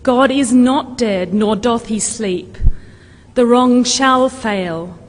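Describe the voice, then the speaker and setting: A woman's voice: a little high, takes it slowly. Woman reciting solemnly in a reverberant hall. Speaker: reader at a carol service. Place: large university hall. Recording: echoey large hall, long reverb.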